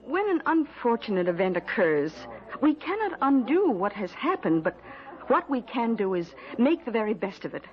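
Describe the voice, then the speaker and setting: A woman's voice: a little high, sing-song, moderate pace, highs cut off. An actress playing a sly, middle-aged mother of a crime family in an old radio drama, genteel, mock-sweet and wordy in her persuasion. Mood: smoothly persuasive.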